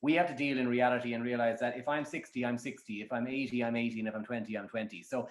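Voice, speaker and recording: A man's voice: medium pitch, steady tone, fast talker, reverberant, rough recording. An Irish man talking bluntly and frankly as a guest on a casual podcast, rambling with many restarts. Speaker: matchmaker guest.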